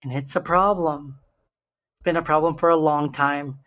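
A young man with a slightly raspy, hoarse voice, earnestly hosting a solo podcast and slowing down to stress key numbers. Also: a little high, steady tone, a bit bright, muffled recording. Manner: earnest, stresses numbers slowly, self-interrupting restarts. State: hoarse from shouting the day before. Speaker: podcast host.

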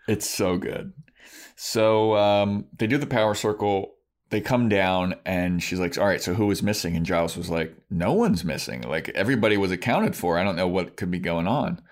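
A man's voice: low-pitched, normal speed. A man co-hosting a podcast, casually recapping a story in an informal way and quoting the characters as he goes. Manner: informal retelling with acted-out quotes. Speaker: podcast co-host. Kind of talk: casual chat recapping a TV episode.

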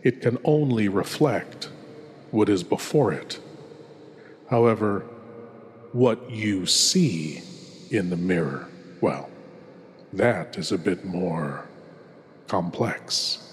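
A man hosting a horror show with theatrical scripted narration and deliberate spooky showmanship. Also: low voice, lively intonation, slight background noise.

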